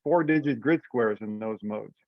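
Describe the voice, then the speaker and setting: A man's voice: fairly low pitch, moderate pace, no room sound. A man talking casually in an online meeting, thinking aloud hesitantly as he goes through rules as an organizer. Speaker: event organizer presenting rules. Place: online teleconference call. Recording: dull lo-fi teleconference audio.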